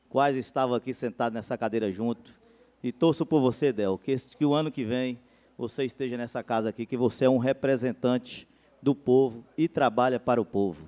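A man's voice: mid-range voice, darkish tone. Fervent councilman in a reverberant hall. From Brazil. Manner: fervent political speech, rising emphasis on key claims. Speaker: city councilman. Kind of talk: council floor speech. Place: city council chamber. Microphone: handheld mic at a podium.

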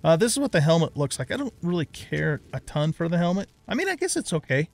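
A man's voice: fairly high pitch, sing-song, fast talker, creaky. A middle-aged man giving casual let's play commentary, unhurriedly thinking aloud step by step.

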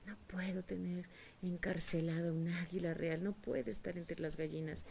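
A woman voicing a firm, no-nonsense farmer in her own low, mellow voice. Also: fairly even intonation, moderate pace, vocal fry, slight background noise.

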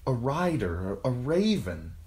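A man's voice: mid-range voice, sing-song, slow pace, a little mellow, pitched above the performer's own voice. A man voicing an anguished noblewoman in an audiobook, a stern and hurt grown daughter with an insistent manner. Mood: reproachful. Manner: hard, insistent.

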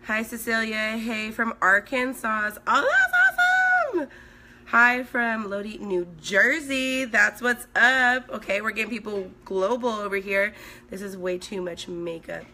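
Bright-voiced hoarse Swedish-born woman. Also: on the high side, animated, a little slow, a little hiss or hum. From Sweden. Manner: chatty, reads viewer comments aloud while working. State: hoarse, voice recovering. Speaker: makeup artist. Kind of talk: live makeup stream chat. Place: indoors at home, small room. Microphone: phone at arm's length.

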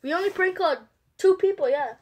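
A young woman with a high voice chats casually to a livestream in a giggly, excitable way. It is an amateur phone recording with faint noise and small clicks. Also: fast, muffled recording. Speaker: livestreamer making prank calls.

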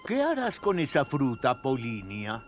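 Slow, gentle old cartoon elder. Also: male voice, mid-range voice, huge pitch swings. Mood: gentle, curious. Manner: gentle, reassuring. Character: kindly, patient old male elder. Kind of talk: cartoon dubbing. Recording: music behind the voice.

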